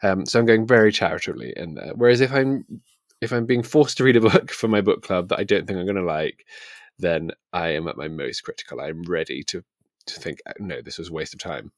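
A man with a deep voice chats about books as a podcast co-host. He is wry and self-questioning, feeling his way through each thought, and the sound is dry with no room echo. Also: lively intonation, brisk. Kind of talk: casual book discussion.

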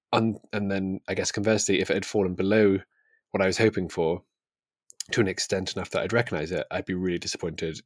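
Man, low pitch, reflective, hesitant phrasing, casual book chat, very dry recording. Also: breathy edge, no echo. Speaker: book podcast host.

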